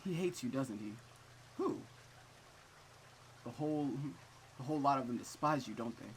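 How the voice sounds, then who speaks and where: A man's voice: medium pitch, expressive, normal speed, slight background noise, natural voice. A man voicing a harsh, possessive mother in a visual novel, speaking to a daughter. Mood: scornful, accusing. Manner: scornful insults turning to smothering devotion.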